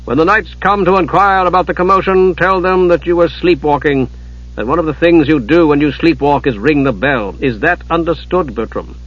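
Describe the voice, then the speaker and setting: A stern storybook master of a great library, acted by a male narrator. He gives formal, impatient orders in a slightly raised, husky voice. The audio is somewhat dull. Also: fairly high pitch, normal speed, a bit bright, voice pushed up. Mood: commanding, impatient. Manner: imperious, formal, giving orders. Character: ageless, commanding master historian, stern and impatient. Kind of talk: dramatised audiobook character.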